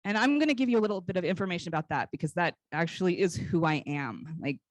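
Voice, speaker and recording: An American woman in her forties giving an informal public talk in a conversational, personal storytelling way, with frequent pauses. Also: on the low side, animated, no room sound. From Northern California, United States. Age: 40s. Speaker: guest speaker, basket weaver and cultural educator. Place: live talk at a conference.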